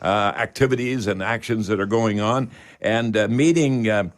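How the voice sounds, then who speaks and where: A man's voice: lowish voice, normal speed. A man hosting a live call-in radio show in a folksy, rambling way with hesitant phrasing. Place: radio studio.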